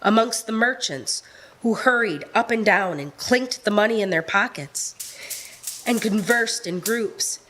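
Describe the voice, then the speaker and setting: A woman's voice: mid-range voice, expressive, measured pace, a bit bright, slight background noise, poor audio. A woman narrating a live radio play as scripted storytelling.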